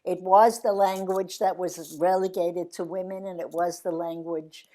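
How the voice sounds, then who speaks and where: Older woman, low-pitched, earnest; rambles through restarts on a video call. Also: steady tone, measured pace, mellow. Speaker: interviewee. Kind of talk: reflective conversation.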